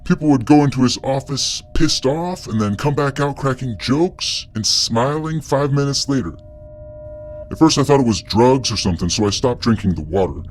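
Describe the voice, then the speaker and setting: An actor playing a paranoid, blunt ex-employee whistleblower, giving casual, conspiratorial interview answers. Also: male voice, mid-range voice, lively intonation, normal speed, natural voice. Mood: agitated.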